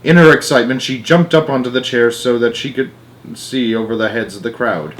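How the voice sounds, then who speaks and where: A man's voice: mid-range voice, brisk, a little hiss or hum, low-quality recording. Man reading a children's story aloud. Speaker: amateur storybook narrator.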